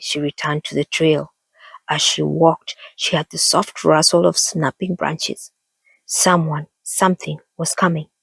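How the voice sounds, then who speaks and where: A woman reading a children's story aloud as an expressive storyteller. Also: deep voice, breathy edge, no room sound.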